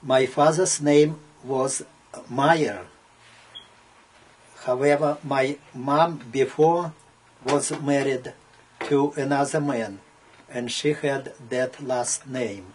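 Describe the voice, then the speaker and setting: A man in his seventies from Poland with an Eastern European accent, giving personal testimony in halting, broken English phrases with frequent pauses. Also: medium pitch, slight background noise, rough recording. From Poland (now Belarus). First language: not English. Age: 70s. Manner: halting, pausing often, in short broken phrases. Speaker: Holocaust survivor giving testimony. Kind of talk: personal oral history testimony.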